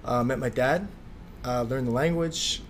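A young man, talking as a guest in a casual interview in a relaxed, easygoing way with frequent "like"s. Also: mid-range voice, unhurried, a little hiss or hum. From Slovakia. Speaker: guest, clothing designer.

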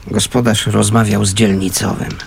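An actor playing a gruff but kindly homeless man in a radio play, with a gravelly voice and a colloquial, fatherly way of talking. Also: fairly low pitch, level tone, moderate pace, noisy background. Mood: matter-of-fact. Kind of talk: naturalistic Polish radio play acting.

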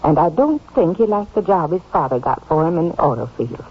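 A muffled old radio recording of a small-town American aunt. Her voice is husky, and she speaks politely and chattily with a troubled, obliging manner. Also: on the low side, animated, brisk. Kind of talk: 1950s radio drama acting.

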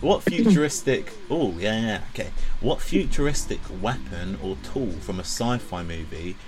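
A man's voice: lowish voice, animated, moderate pace, music in the background. A man hosting a podcast, joking around in casual chat. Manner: playful, jokey, laughs often. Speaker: podcast host.

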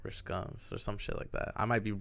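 Laid-back low-voiced man chatting. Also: steady tone, brisk, fairly bright tone. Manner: laid-back, laughs often, slangy. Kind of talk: casual chat.

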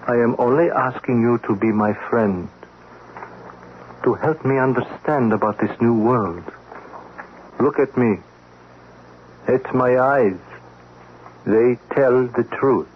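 A man's voice: mid-range voice, close to an everyday voice. Man playing a haunted refugee painter, tinny radio sound. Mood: intense pleading. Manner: slow, poetic, pleading, formal foreign phrasing. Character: weary, haunted middle-aged male refugee painter, former political prisoner, earnest. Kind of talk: radio drama acting. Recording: tinny band-limited radio, clear noise.

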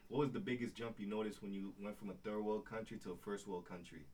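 A man's voice: on the low side, fairly even intonation, quick pace, a little mellow. A young man hosting a casual interview, slangy and easygoing, often repeating short acknowledgements. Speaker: podcast host. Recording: distant mic with faint background noise.

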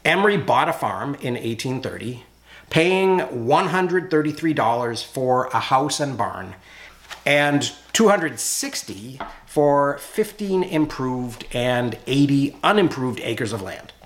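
A man reading his own nonfiction audiobook as scripted narration, in a measured, explanatory manner. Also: medium pitch, animated, a bit bright.

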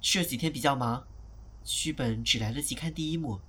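Youthful newcomer actor, higher voice. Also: male voice, moderate pace, fairly bright tone, husky. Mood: tentative. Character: naive, eager young male newcomer actor. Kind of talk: Chinese audio drama acting.

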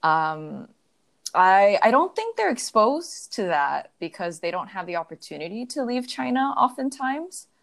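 A woman's voice: medium pitch, big pitch swings, unhurried, creaky. A young adult woman in casual conversation, telling stories reflectively with many fillers. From United States.

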